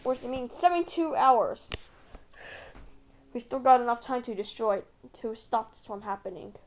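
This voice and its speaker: A woman's voice: fairly high pitch, animated, moderate pace. A woman acting a flustered, anxious character in an amateur improvised drama, stumbling over words and correcting herself. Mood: worried.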